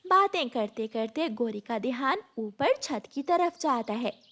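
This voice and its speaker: A woman's voice: on the high side, expressive, a little slow, a bit bright. A woman narrating a children's cartoon story as a scripted storyteller.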